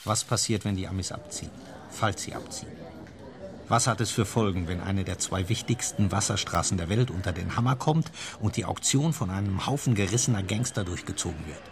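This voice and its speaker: Slick, coercive spy, low voice. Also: male voice, fairly quick, a crowd in the background. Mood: urgent, rhetorical. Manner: pointed, pressing, insinuating. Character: slick, coercive British intelligence agent pressuring an informant. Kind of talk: German radio crime drama acting.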